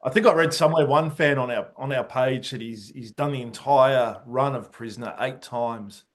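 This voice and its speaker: A man's voice: mid-range voice, moderate pace. A man hosting an interview podcast, opening with a read introduction and then asking friendly, keen questions. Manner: enthusiastic, with a scripted introduction. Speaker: podcast host.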